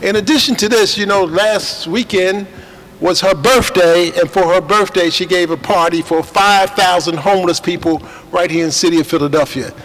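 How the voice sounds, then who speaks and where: A man's voice: high-pitched, normal speed, a bit bright, slight background noise. A man acting as emcee at a reception, giving a rambling, folksy introduction. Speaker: event emcee. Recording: echoey hall with faint background.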